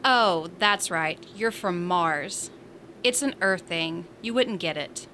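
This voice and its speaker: A woman's voice: lowish voice, slow pace, sharp tone, slight background noise. A woman playing a teasing, feisty space station resident in a science fiction audio drama, full of sassy banter.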